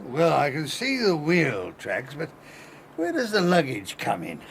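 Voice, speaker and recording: An actor playing a placid, pedantic British police inspector in a radio drama, by-the-book and grudging. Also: male voice, a little high, wildly swooping pitch, measured pace, vocal fry, fairly bright tone, roomy sound, slight background noise, full character voice. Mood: sceptical. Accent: British English. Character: stolid, unhurried male police inspector, by-the-book.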